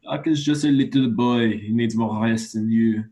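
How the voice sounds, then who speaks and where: A man's voice: mid-range voice, moderate pace, mellow, slight background noise, close to an everyday voice. A young man playing a patient, explanatory father of small children in a radio play. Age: young adult.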